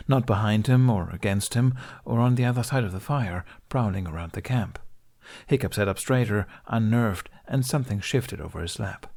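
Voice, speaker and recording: Animated, low-pitched male storyteller. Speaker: audiobook narrator. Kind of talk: expressive scripted story narration.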